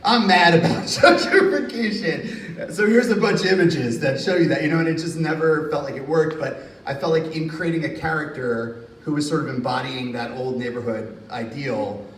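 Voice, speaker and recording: A man from New Jersey, an author at a university reading, telling lively anecdotes and acting out quotes with bursts of laughter. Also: on the high side, moderate pace, few pauses, slight background noise. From Hudson County, New Jersey. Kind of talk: casual storytelling in a Q&A. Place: university reading event hall. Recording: roomy, distant, faint ambience.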